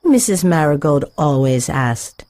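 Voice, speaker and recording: A woman storyteller reading a scripted children's tale with measured, careful phrasing for young listeners. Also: low-pitched, sing-song, dark tone.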